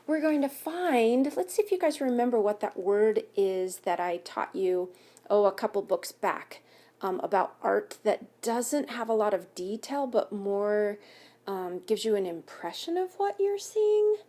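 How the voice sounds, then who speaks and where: Mellow, husky woman reading to children. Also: mid-range voice, lively intonation, measured pace. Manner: instructive, asks listeners questions. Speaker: school read-aloud teacher. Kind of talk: reading a picture book aloud to children with explanatory asides. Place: home basement by a fire.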